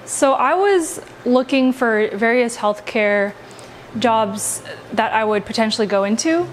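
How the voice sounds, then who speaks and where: A woman's voice: a little high, expressive, measured pace, a little hiss or hum, rough recording. Upbeat young woman student. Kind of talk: interview answers.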